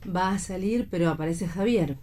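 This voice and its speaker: A woman's voice: fairly low pitch, normal speed, mellow. A woman reading stage directions as the narrator of a scripted radio play.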